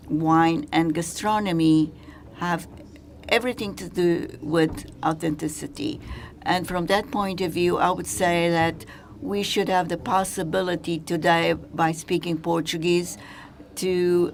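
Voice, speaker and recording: A woman giving simultaneous interpretation at a conference, halting and hesitant. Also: fairly low pitch, vocal fry, a little hiss or hum.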